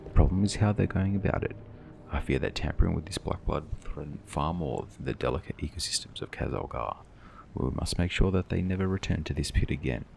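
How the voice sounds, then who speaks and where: A man's voice: a bass voice, fairly quick, vocal fry, slight background noise. A man narrating a gaming video with a scripted, dramatic reading of quest text. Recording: voice-over with game music behind.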